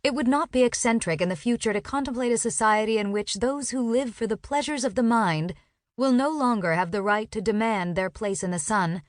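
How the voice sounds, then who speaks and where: A woman's voice: fairly high pitch, fairly quick, no room sound. Intense female audiobook narrator, dry studio sound. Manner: urgent, intense. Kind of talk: scripted nonfiction audiobook narration.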